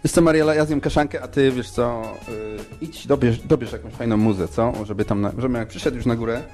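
A man's voice: mid-range voice, darkish tone, soft background music, close to an everyday voice. Man acting a fussy, rambling, exasperated lover. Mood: distracted, hesitant. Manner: halting, rambling, pompously philosophizing. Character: fussy, exasperated male lover, self-important and evasive. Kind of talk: comic podcast radio play.

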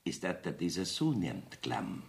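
A man's voice: low-pitched, huge pitch swings, measured pace, vocal fry, full character voice. An actor playing a wily, evasive village judge in a Bavarian dialect radio play, blustering and wheedling as he rambles. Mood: wheedling, veiled menace.

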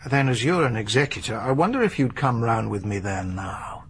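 A man's voice: mid-range voice, animated, normal speed, a bit bright, husky. An actor playing a polite, methodical male police inspector who questions witnesses in a radio drama. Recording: archive radio drama with light hiss.

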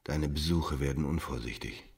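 A man acting a smug, sensual, cynical lover in a radio drama, with a gravelly, husky voice and an intimate drawl. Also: a bass voice, moderate pace, a little mellow. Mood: amused, dismissive.

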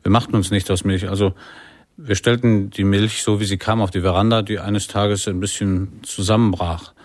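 An actor playing an easygoing jazz musician who tells droll, deadpan anecdotes in a radio play. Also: male voice, fairly low pitch, normal speed, dry recording, natural voice.

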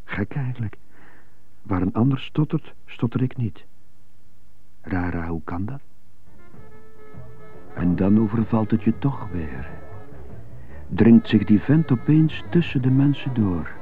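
An actor playing an indignant, brooding stuttering prisoner who mutters to himself in a radio drama monologue. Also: male voice, on the low side, lively intonation, takes it slowly, pauses often. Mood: brooding, subdued. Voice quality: stutter on some words. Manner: muttering, self-questioning monologue in short bursts. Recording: muffled narrow phone-like sound, music behind.

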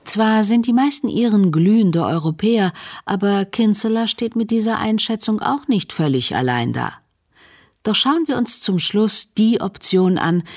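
A woman narrates a radio documentary from a script. Her voice sits a little lower than most women's, and she delivers prepared feature narration. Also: a little mellow.

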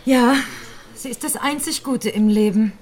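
A woman's voice: fairly high pitch, measured pace, darkish tone, close to an everyday voice. An actress playing a worldly, flirtatious, tipsy society lady in a German radio play, theatrical and coquettish. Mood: frank, worldly.

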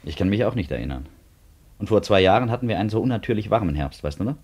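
An actor in a German radio play playing a guilt-tormented bridegroom, weary, tense and irritable, with a husky voice. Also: male voice, lowish voice, expressive, very fast, darkish tone. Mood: weary, irritated.

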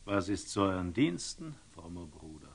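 An actor playing a courteous, generous and wise older merchant in a classical radio play, speaking in a forthright way. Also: male voice, sits low, big pitch swings, moderate pace, breathy edge, heavily acted. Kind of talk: classical stage dialogue in a German radio play.

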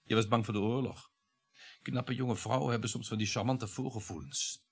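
An actor playing a sardonic, irritable middle-aged surgeon and husband in a radio play, with a needling manner. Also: male voice, mid-range voice, fairly even intonation, normal speed, husky. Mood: needling, bitter.

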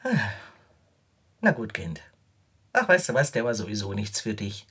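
A caring middle-aged mother in a radio play. The voice is strikingly low and husky, gently hesitant, with a lively rise and fall as she consoles. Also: moderate pace, exaggerated voice. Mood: tender, consoling. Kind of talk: radio drama dialogue.

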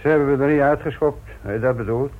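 Male actor, ageing police inspector, wry, teasing, mid-pitched voice, radio drama, tinny old recording. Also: quick pace, lightly acted. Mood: jovial, wry. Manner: teasing, probing, familiar.

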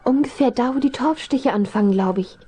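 A woman's voice: fairly high pitch, fairly quick, warm tone, slightly rough. A woman acting a brave, bossy young girl in a children's audio drama, speaking urgently and decisively. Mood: anxious. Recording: hissy 1970s vinyl radio play.

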